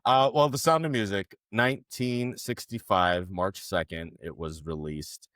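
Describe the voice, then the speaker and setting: A man with a notably deep voice leads a casual movie podcast. He runs through film credits and throws jokes to his co-hosts, recorded close and dry with no room sound. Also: a little slow, a bit bright, no room sound. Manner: leads the show, reads out film credits, jokey. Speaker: podcast host.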